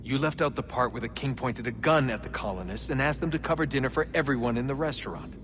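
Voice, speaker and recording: An actor playing an easygoing young modern-day hero in a video game, plain-spoken and quietly challenging. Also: male voice, medium pitch, quick pace, background noise, close to an everyday voice. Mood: calm, questioning. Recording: long hall reverb, music behind.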